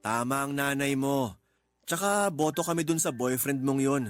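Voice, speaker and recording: A male actor as an aging working father talks in a medium-pitched voice for radio drama. He is gentle, supportive and a little teasing. Light music plays underneath. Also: moderate pace, close to an everyday voice. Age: middle-aged. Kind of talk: Tagalog radio drama acting.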